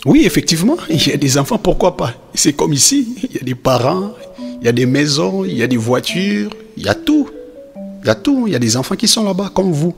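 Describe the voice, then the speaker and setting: A man's voice: on the high side, very animated, a little fast, vocal fry, faint music behind. A man playing a reassuring father in a cartoon, explaining things patiently and a little hesitantly to his children. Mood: fond. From Democratic Republic of the Congo. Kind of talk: animated film dialogue, semi-improvised explanation.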